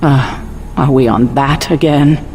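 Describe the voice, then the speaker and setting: An actor playing a stern, protective male leader in a video game, crisp, formal and easily indignant. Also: medium pitch, fairly even intonation, takes it slowly, a little mellow, a little hiss or hum, close to an everyday voice, low-quality recording. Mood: defensive. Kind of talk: video game cutscene acting.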